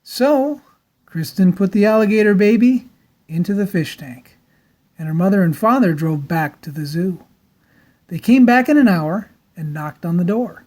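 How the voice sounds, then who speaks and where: A woman narrating a children's storybook aloud in a dramatic, theatrical style with deliberate emphasis. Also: medium pitch, lively intonation, a little mellow.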